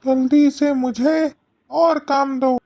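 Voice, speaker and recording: A woman's voice: sits high, fairly even intonation, slow pace, warm tone, no echo, clean studio sound, pitched above the performer's own voice. A woman voicing a menacing, impatient male ghost from a lamp in a cartoon story, demanding and threatening. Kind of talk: cartoon story character acting.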